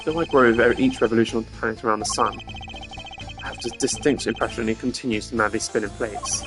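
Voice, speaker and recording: A man voicing a composed, analytical prosecutor in a video game, with a measured, formal and dryly superior delivery. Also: medium pitch, steady tone, over music, close to an everyday voice.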